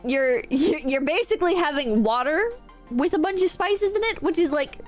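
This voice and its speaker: A woman streamer with a nasal, stuffy-nosed voice and a sore throat from a cold, chatting excitably and yelling and swearing in bursts. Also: sits high, moderate pace, soft background music. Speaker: streamer reading out online reviews. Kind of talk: casual livestream chat.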